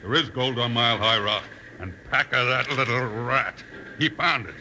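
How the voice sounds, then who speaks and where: An actor playing a hard, suspicious swindler boss in a 1950s western radio drama, menacing and accusing, with a gravelly voice. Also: male voice, mid-range voice, normal speed, sharp tone. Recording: old radio recording with faint noise.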